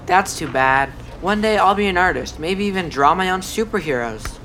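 A low, boyish voice plays a twelve-year-old comics fan in an audio drama. He is friendly and frank, speaking casually over faint music. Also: animated, moderate pace, fairly bright tone. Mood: frank, wistful.

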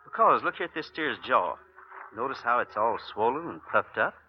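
An actor playing a steady, folksy cowboy hero in a 1940s radio drama, confident and sure. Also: male voice, mid-range voice, moderate pace, slight background noise, natural voice. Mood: calm. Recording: dull lo-fi old radio recording.

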